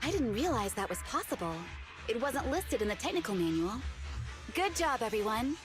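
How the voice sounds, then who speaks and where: A woman's voice: on the high side, very animated, normal speed, over music. A woman voicing a composed, dutiful young female squad leader in a video game, speaking in a polite, businesslike way. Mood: calm, polite.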